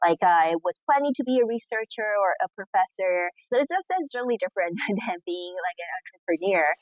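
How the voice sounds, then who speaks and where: A woman's voice: medium pitch, lively intonation, a little fast, dry recording, rough recording. A woman in her thirties from Taiwan, answering interview questions over a call in a casual way, with many "you know, like" fillers. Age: 30s. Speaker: guest entrepreneur. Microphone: remote call recording.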